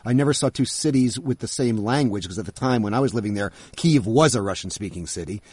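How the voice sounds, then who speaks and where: A man's voice: lowish voice, lively intonation, quick pace, a little mellow, slightly rough, dry recording. A middle-aged man interviewing a guest in a thoughtful conversational way, often restarting his phrases.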